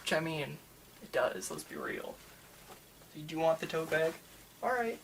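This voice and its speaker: ASMR store worker, mid-pitched and creaky, halting casual chat, enthusiastic fan, slight ambient noise. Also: very animated, fairly bright tone. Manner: rambling, halting, enthusiastic about anime. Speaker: manga store worker in a roleplay. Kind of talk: ASMR roleplay, casual customer-service chat.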